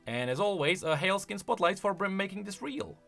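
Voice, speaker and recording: A man gives casual commentary as host of a game lore video. His voice sits fairly high and moves around a lot, with an emphatic, conversational delivery. Light music plays underneath. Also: expressive, fairly quick, creaky, fairly bright tone.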